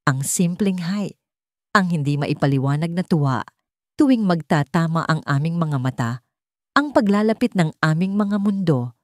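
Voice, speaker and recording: Low, mellow woman narrator, dry sound. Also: animated, normal speed, no room sound. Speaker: story narrator. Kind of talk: scripted first-person narration of a sad love story.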